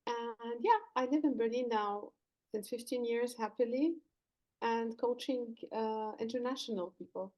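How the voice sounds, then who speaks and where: A woman's voice: a little high, unhurried, darkish tone, rough recording. A woman from Lebanon, a leadership coach, earnestly explaining her work as a podcast guest. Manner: earnest, explanatory, some fillers and restarts. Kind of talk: podcast interview answers.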